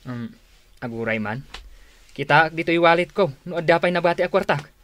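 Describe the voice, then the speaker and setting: A man's voice: a little high, lively intonation, normal speed, fairly bright tone. A man with an Ilocano accent playing a generous, mild-mannered husband and son-in-law in a radio drama. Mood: helpful, calm.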